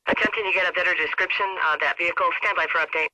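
A man's voice: high voice, fairly even intonation, racing, no room sound. A man playing a formal, procedural police dispatcher in a video game, heard through a noisy radio filter. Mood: businesslike. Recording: loud static-like radio noise.

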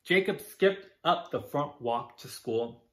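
A man narrating a children's picture book as a drag storytime reader, dramatic and deliberate. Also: a little high, lively intonation, a bit bright. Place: small furnished room indoors.

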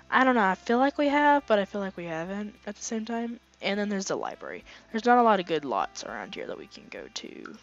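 A young woman talks over her gameplay in loose, rambling sentences full of likes and ums. Her voice is raspy and worn thin from being sick. The home recording sounds a little dull. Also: medium pitch. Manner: rambling, filler-heavy, chatty. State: hoarse, voice fading from illness. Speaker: gaming channel host. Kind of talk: casual let's play commentary.

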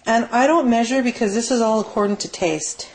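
A woman's voice: on the high side, fairly quick, a little mellow. A woman giving a casual, rambling, unscripted cooking demonstration as a home cook in her kitchen. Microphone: handheld camera mic. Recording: noisy amateur camera audio with clicks.

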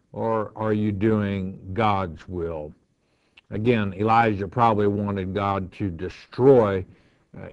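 A man preaching as a pastor, reading Bible verses aloud with emphasis and explaining them in a measured, deliberate way. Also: on the low side, darkish tone. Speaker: pastor teaching a Bible lesson. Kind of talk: verse-by-verse Bible teaching, reading scripture aloud then commenting. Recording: roomy echo, dull lo-fi sound.

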